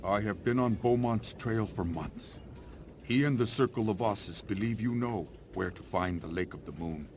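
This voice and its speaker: An actor playing a wise, grave older frontier hero in a video game, speaking in a measured, solemn way. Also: male voice, lowish voice, darkish tone, slight background noise, natural voice. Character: grave, wise old great-uncle, seasoned frontier hero. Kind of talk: video game cutscene acting.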